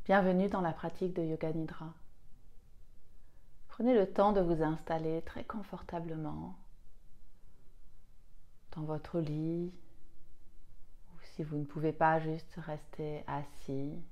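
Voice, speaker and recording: A woman leading a guided relaxation meditation as a yoga nidra teacher, soothing and unhurried, with long pauses and a soft, at times breathy voice. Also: low-pitched, steady tone.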